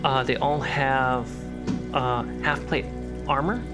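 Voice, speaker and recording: A man's voice: medium pitch, steady tone, slow pace. A man running a tabletop roleplaying game as game master, narrating scenes and answering players. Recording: music and rain sound effects behind. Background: atmospheric music, rain.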